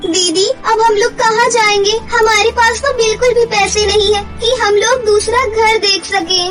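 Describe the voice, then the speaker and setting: A woman's voice: very high voice, fairly even intonation, normal speed. A woman voicing a worried, trusting little sister, a young girl in a cartoon story, plaintive in manner. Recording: music under the voice.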